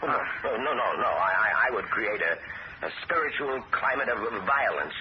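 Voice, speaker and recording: A man's voice: mid-range voice, animated, normal speed, close to an everyday voice. A man playing a jittery, pleading house seller. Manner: nervous, pleading, stammering. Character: jittery man desperate to sell a haunted house. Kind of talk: 1970s radio drama acting. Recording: muffled old radio broadcast with loud hiss.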